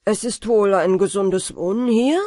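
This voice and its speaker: A woman's voice: medium pitch, dark tone, no room sound, clean studio sound, close to an everyday voice. A woman voicing a polite, wary fisherman who speaks in a deferential, astonished way, reading a storybook. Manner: deferential, astonished, slow and careful. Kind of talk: storybook character voice.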